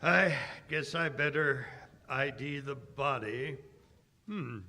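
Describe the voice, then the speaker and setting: An actor playing an earnest, excitable police commissioner in 1930s radio drama style, halting and exclamatory. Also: male voice, medium pitch, full character voice. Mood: baffled.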